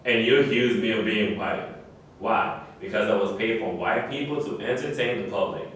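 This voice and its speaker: A man's voice: lowish voice, normal speed, fairly bright tone, lightly acted. Man acting a proud, defensive athlete, echoey and distant. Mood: earnest, offended. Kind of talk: staged play reading. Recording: very echoey room, distant mic, faint noise.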